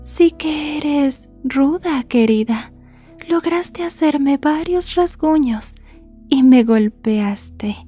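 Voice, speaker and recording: A creepy sweet yandere schoolgirl, asmr roleplay, high soft mellow voice, murmuring slow threats. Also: lively intonation, faint music behind, heavily acted. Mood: taunting. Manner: slow, breathy murmurs, gloating, mock-polite menace. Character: sweetly menacing, obsessive yandere schoolgirl in love.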